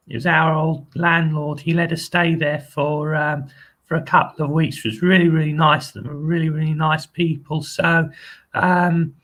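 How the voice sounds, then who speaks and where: A man's voice: a little high, fairly bright tone. A man hosting his own channel, chatting casually and hesitantly with lots of ums and restarts, repeating friendly phrases as he rambles. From England. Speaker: channel host. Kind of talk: casual live video chat.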